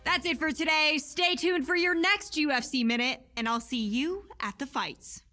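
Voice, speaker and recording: A woman's voice: high-pitched, moderate pace. A woman presenting a scripted sports news update. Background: faint music bed.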